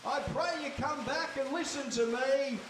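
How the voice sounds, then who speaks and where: A man's voice: extremely high pitch, moderate pace, background noise. An actor playing a reluctant, henpecked fisherman with a groaning, hesitant manner in a live radio play. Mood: beseeching. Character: reluctant, put-upon henpecked fisherman husband. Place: stage before a live audience in a hall. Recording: echoey hall, distant microphone.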